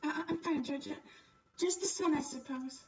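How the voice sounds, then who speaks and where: A woman's voice: sits high, moderate pace, a little mellow, far from the mic, highs cut off, voice pushed up. A woman voicing a kind, polite young noblewoman who gets flustered, in a visual novel. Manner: stammering, polite.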